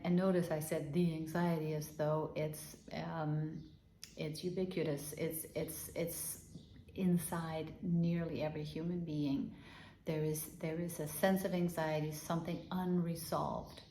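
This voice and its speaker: Gentle woman. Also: on the low side, reverberant. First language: Danish. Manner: gentle and unhurried, with soft repeated guiding phrases. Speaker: healing and meditation teacher. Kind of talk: guided body-awareness talk.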